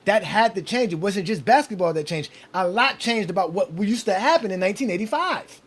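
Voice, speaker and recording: Male host, 40s, casual sports debate, higher voice, lively and repetitive. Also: brisk, husky. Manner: animated, repeats himself when excited. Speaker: show host.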